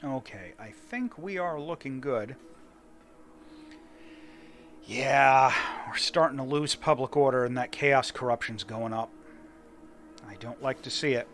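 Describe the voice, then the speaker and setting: A man's voice: medium pitch, measured pace, pauses often, fairly bright tone, soft background music. Man casually thinking aloud over a game. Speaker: gaming video host. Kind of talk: casual let's play commentary.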